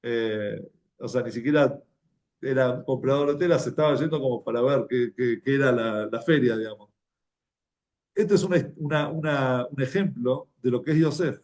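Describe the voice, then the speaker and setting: A man with an Argentine Spanish accent giving an informal religious talk, telling anecdotes in a halting, conversational way and repeating words as he goes. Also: medium pitch, darkish tone. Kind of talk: informal sermon, anecdotal storytelling.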